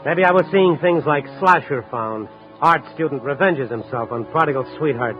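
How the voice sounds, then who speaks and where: A man's voice: fairly high pitch, moderate pace, close to an everyday voice. Guy playing tired hard-boiled night beat reporter, old 50s radio show, muffled sound w/ music. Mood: weary. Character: world-weary male newspaper reporter on the night beat. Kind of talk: 1950s radio drama acting and first-person narration.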